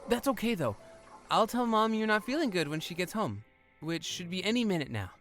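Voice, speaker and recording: A woman's voice: lowish voice, sing-song, a little fast, faint music behind. A woman playing a kind, concerned young boy in an audio drama, casual, a little hesitant and reassuring. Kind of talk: naturalistic audio drama acting.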